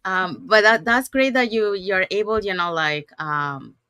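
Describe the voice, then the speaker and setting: A woman's voice: mid-range voice, unhurried, pushed and bright, clean tone, no echo, studio quality. A woman hosting an interview over a video call, talking casually with many fillers. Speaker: host.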